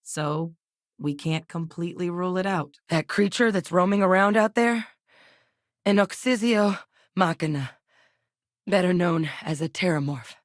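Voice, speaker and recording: A woman's voice: lowish voice, measured pace, dry recording, natural voice. An actress playing a capable, dry-witted woman scientist and soldier in a space video game, articulate, earnest and direct. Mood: matter-of-fact.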